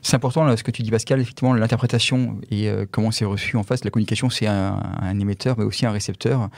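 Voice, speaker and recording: A man's voice: on the low side, steady tone, fast, creaky, darkish tone, no echo. A man co-hosting a casual conversation, offering thoughts and putting questions. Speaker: podcast co-host.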